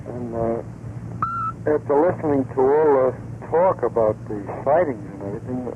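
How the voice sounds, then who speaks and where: A man calling a vintage radio show by telephone, talking casually in a halting, sceptical but persistent way. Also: mid-range voice. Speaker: phone-in caller. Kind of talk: casual opinion on a radio call-in. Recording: tinny phone line on vintage radio, noisy.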